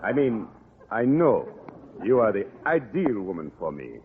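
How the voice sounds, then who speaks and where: An actor playing a courteous, flustered French gentleman with halting foreign phrasing in a 1940s radio comedy. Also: lowish voice, big pitch swings. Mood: halting, earnest. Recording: tinny, noisy vintage radio recording.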